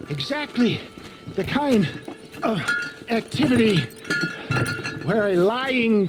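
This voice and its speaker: An old frontier huckster for a Western game, high in pitch and grandly pompous. He draws out his florid, wheedling phrases slowly. Clear outdoor noise sits behind the voice. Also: full character voice. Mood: theatrical, animated. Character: flowery, pompous old swindler and snake-oil peddler in the Old West. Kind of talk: video game voice acting.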